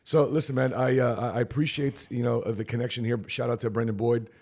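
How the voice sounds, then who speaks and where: A man with a husky voice hosting a podcast interview in a punchy, slangy way. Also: mid-range voice, flat delivery, fast, darkish tone. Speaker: podcast host and insurance agency founder. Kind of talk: hyped podcast introduction and casual interview.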